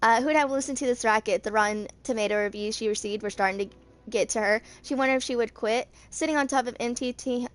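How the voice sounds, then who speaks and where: A woman in her mid twenties with a fairly high voice reads lore aloud as an amateur narrator. Her delivery is halting, and she trips on words and goes back over them. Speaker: video creator reading game character lore.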